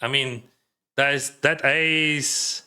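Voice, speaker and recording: A man's voice: medium pitch, steady tone, slow pace, pushed and bright, smooth. A man from Ukraine with a Ukrainian accent and Russian as his first language, chatting casually on a poker live stream, advising viewers with lots of "like" and "uh". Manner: chatty, advising, heavy use of "like" and "uh". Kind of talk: casual live-stream commentary, answering chat.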